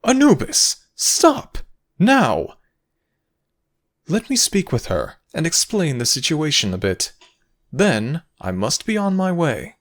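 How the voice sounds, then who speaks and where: A man puts on a high, lofty voice for a male Egyptian god in a dramatic roleplay. The pitch swoops freely. His delivery is formal and theatrical, with the patient air of a superior explaining matters to a lesser one. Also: wildly swooping pitch, slow pace, exaggerated voice. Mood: urgent. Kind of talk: dramatic ASMR audio roleplay.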